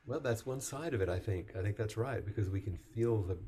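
A man leading a group discussion as its teacher, speaking softly and reflectively at an unhurried pace. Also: fairly low pitch, slightly rough. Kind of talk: gentle guided group discussion.

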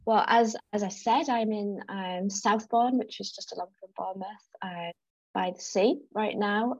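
A woman's voice: mid-range voice, steady tone, normal speed, no room sound, lo-fi sound. A woman in her thirties, raised in South Africa and North East England, chatting as a podcast guest with frequent restarts. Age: 30s. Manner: chatty, restarts often, laughs easily. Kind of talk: casual interview chat.

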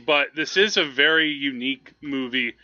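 A man's voice: mid-range voice, moderate pace, dull audio. A man co-hosting a podcast, chatting casually about films in a rambling, enthusiastic way. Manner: rambling, enthusiastic explaining, frequent "like". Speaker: podcast co-host. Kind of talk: casual film chat.

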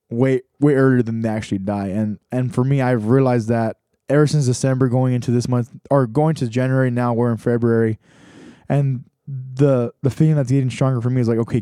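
A man's voice: mid-range voice, dark tone, clean tone, no echo, studio quality. A young man hosting a podcast, thinking aloud in a casual reflective monologue with many restarts and fillers. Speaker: podcast host.

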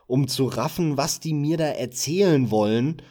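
A German-speaking man co-hosting a podcast, chatting about gaming websites in a relaxed, casual way with a few filler sounds. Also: mid-range voice, normal speed. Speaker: podcast co-host.

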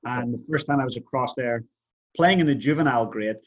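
A man being interviewed tells stories from his own life in a conversational way. His voice sits at an average pitch for a man. The recording is a little dull and lo-fi. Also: a little fast. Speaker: interview guest. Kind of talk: conversational personal storytelling.

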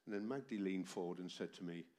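A very deep-voiced man in his seventies preaches into a microphone in a church hall, his voice worn by a summer cold. He speaks emphatically and keeps turning to the congregation with rhetorical questions. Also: fast, dark tone. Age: 70s. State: tired voice from a heavy cold. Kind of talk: sermon. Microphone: amplified microphone.

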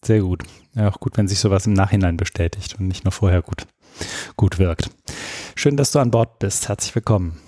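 A man's voice: low voice, brisk, warm tone, no echo, studio quality. A man hosting an interview podcast, welcoming guests and guiding the introductions in a friendly, structured way. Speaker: podcast host.

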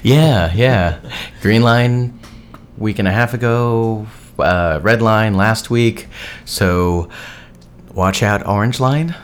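A man from Massachusetts, an actor co-hosting a podcast, talking casually and explaining things in a thoughtful, measured way. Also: on the low side, clean tone. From Massachusetts, USA. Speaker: podcast guest host, actor. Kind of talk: casual chat.

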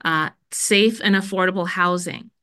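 Bright, smooth-voiced woman from Jamaica. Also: fairly low pitch, normal speed, no room sound, studio quality. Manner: earnest, articulate, many fillers. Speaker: guest, school dean. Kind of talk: podcast interview, conversational.

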